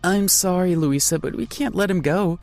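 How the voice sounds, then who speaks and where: A man's voice: high-pitched, normal speed, darkish tone, soft background music, pitched above the performer's own voice. A man voicing a ruthless, arrogant young male heir who talks in a cold, dismissive way. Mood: cold, scornful.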